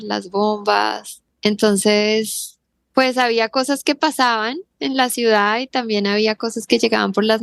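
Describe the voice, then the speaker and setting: Woman, forties, Bogotá Colombian accent, flat even delivery, thoughtful interview guest, restarts mid-sentence. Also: mid-range voice, creaky, no echo. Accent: Bogotá Colombian Spanish. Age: 40s. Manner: thoughtful, searching for words with frequent restarts. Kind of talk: reflective conversational interview.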